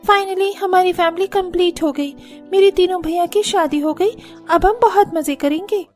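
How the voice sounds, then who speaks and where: A woman's voice: very high-pitched, normal speed, soft background music. A woman voicing a whiny, playful younger sister in a Hindi family cartoon. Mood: excited. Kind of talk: Hindi moral-story cartoon dubbing.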